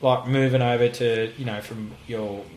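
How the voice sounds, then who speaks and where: Male interviewer, mid pitch, relaxed matey delivery, frequent restarts. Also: slight background noise, poor audio. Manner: matey and halting, says "mate" often. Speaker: podcast host. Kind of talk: casual interview. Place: guest's house.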